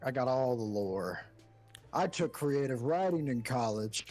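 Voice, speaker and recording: A man's voice: medium pitch, lively intonation, measured pace, darkish tone, faint music behind. A man chatting casually and teasingly as a guest co-player on a game livestream. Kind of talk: casual chat.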